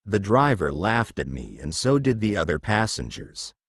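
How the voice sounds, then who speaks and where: A deep-voiced man narrates a children's story for learners. He reads in a slow, measured way, with lively swings of intonation. The sound is dry and close. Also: vocal fry, slightly rough, no room sound.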